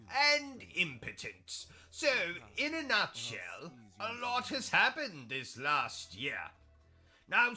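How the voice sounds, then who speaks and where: A man's voice: on the high side, bright, forceful tone, heavily acted. A man with a British accent playing a pompous, rude, deranged posh gamer who hosts a gameplay stream and talks in a haughty, mock-grand way with rude outbursts. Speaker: gaming streamer persona. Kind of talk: comedic gameplay commentary.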